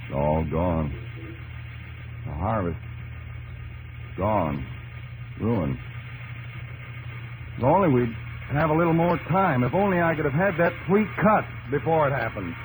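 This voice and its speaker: An actor playing a weary, earnest young American frontier farmer, speaking in a plain, folksy, dramatic way in an old radio drama. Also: male voice, mid-range voice, sing-song, a little slow, long pauses, noisy background. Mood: anguished, resigned. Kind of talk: 1950s radio drama acting and narration. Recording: old 1950s radio recording with music behind.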